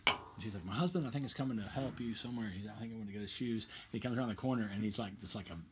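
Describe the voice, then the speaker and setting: A man's voice: on the low side, racing, very noisy. A man in his forties chatting casually as a co-host, with an informal, slangy, buddy-like way of talking. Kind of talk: casual chat between friends. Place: indoor studio room full of guitars, seated at a table.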